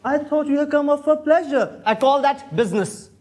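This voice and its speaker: Idle, pompous young gentleman for a stage comedy of manners, played by a man; high-pitched, witty. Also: moderate pace. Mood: witty, bossy. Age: young adult. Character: idle, witty young Victorian gentleman, flippant and self-assured. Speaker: student actor in a stage play.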